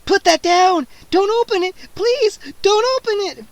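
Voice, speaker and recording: A man voicing a hungry teenage girl vampire who talks in a slow, hissing whisper. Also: very high voice, animated, a bit bright, no echo, pitched above the performer's own voice, exaggerated voice. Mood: pleading. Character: hungry female teen vampire, sly and teasing.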